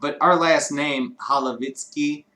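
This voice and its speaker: A man's voice: a little high, fairly even intonation, unhurried, sharp tone. A man from Chicago co-hosting a livestream, chatting casually in a rambling, profane way and laughing often. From Chicago, United States. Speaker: livestream co-host. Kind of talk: casual chat. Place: home streaming studio.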